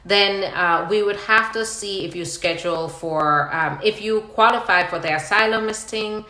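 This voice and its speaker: A woman's voice: mid-range voice, normal speed, sharp tone. A woman attorney hosting a live question-and-answer session, reading out viewer questions and explaining the answers step by step in a conversational way. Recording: roomy, echoing live-stream sound.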